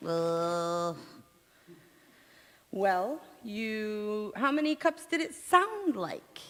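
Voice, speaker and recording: A woman's voice: medium pitch, lively intonation, very slow. A woman playing a feisty, sarcastic housewife in 1940s radio comedy style, with dry, wisecracking retorts. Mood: bluffing. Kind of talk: 1940s radio comedy acting, staged revival. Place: community theatre stage in a church. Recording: echoey hall, long reverb.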